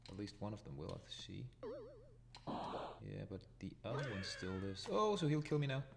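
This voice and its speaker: A Danish-accented man commentates live on a retro game. His voice sits low, with a gravelly, fry-laden edge. He thinks aloud as he plays over clear background noise. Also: big pitch swings, normal speed. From Denmark. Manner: thinks aloud, chuckles at his own deaths. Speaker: retro gaming channel host.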